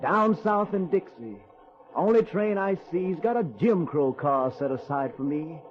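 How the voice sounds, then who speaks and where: Earnest American everyman, high-pitched, folksy dialect, rhythmic theatrical recitation, old-time radio drama, dull recording over music. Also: expressive, unhurried. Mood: wary hope.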